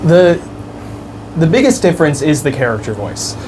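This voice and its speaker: A man's voice: on the high side, very animated, normal speed, a little mellow. A young man who is a puppeteer and actor, answering in an easygoing, agreeable way. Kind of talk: casual interview. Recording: noisy recording.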